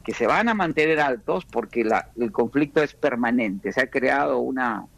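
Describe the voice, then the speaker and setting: A Peruvian-born man speaking as an economist guest in a radio interview, explaining at a measured pace with frequent restarts. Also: medium pitch, lively intonation, gravelly, a bit bright, no room sound, rough recording. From Peru.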